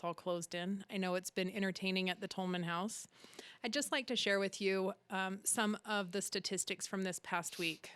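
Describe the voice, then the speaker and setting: A woman's voice: mid-range voice, fairly bright tone, clean tone, no room sound. Female tech official delivering a prepared public update. Clean, resonant tone with very little room sound. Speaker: school district chief information officer.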